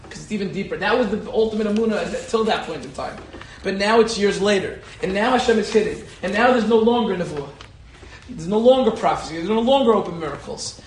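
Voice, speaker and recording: A man, a rabbi, giving an animated Torah lecture and telling a personal story, repeating key phrases for emphasis. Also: high voice, quick pace, reverberant, a little hiss or hum. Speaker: rabbi teaching a Torah class.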